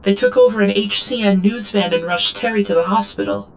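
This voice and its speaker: A woman's voice: medium pitch, brisk, a little hiss or hum, lightly acted. An actress playing an obedient female android drone in an audio drama, reciting logs in a clipped, literal, detached way. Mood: detached, mechanical. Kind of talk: audio drama log narration.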